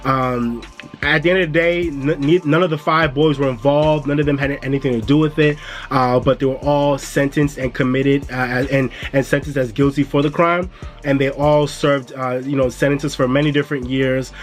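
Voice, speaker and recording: A man's voice: medium pitch, steady tone, normal speed, soft background music. Stuffy-sounding man chatting with viewers. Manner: laid-back and chatty, addresses viewers as "y'all". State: stuffy, coming down with a cold. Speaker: music and entertainment YouTuber. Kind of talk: casual review vlog.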